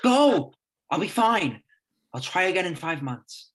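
Young man as a resigned teen brother, husky and subdued. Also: a little high, moderate pace. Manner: subdued, flat, trying to sound calm. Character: scared teenage boy Rory, quietly resigned older brother. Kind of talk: student audio drama.

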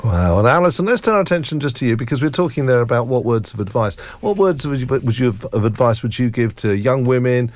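A man's voice: mid-range voice, lively intonation, fast, few pauses, dry recording. A man hosting a conversational interview. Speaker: interview host.

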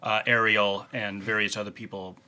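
A man's voice: on the low side, level tone, normal speed, bright, forceful tone. A middle-aged man hosting a podcast in a casual, chatty style. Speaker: podcast host. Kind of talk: casual chat.

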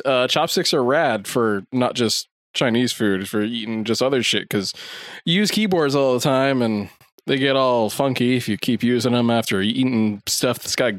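A man's voice: medium pitch, no echo, studio quality. Laid-back swearing young man. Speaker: podcast co-host. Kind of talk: casual chat.

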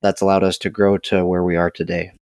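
A man answering interview questions about his work as a safety director, in a measured, reflective way. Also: low-pitched, level tone, a little mellow, no echo, studio quality. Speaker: podcast guest, safety director.

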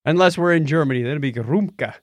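A man's voice: fairly high pitch, fast talker, dry recording, studio quality. A man co-hosting a casual show, bantering in a jokey, chatty way through news items and announcements. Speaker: podcast co-host.

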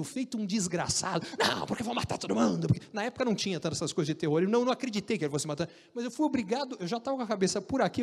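A man with a Brazilian Portuguese accent telling an animated, joking story about his career, with frequent restarts. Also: high-pitched, in a large hall. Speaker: speaker recounting career story. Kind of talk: casual storytelling talk.

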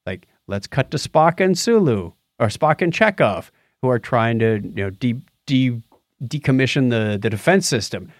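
A man's voice: medium pitch, expressive, normal speed, no room sound. Man chatting casually, dry close sound. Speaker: podcast co-host. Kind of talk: casual conversational chat and commentary.

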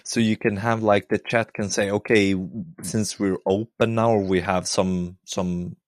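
Low-voiced Swedish man hosting. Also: measured pace, no echo, studio quality. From Sweden. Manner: relaxed, agreeable, a little hesitant. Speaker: host. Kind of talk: casual tech interview.

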